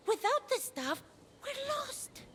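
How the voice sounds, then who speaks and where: A woman's voice: very high-pitched, very animated, takes it slowly, a bit bright, slight background noise, exaggerated voice. A woman voicing a small, angry, bossy child goddess in a video game, feisty and imperious, with music behind her. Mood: commanding. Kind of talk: animated video game voice acting.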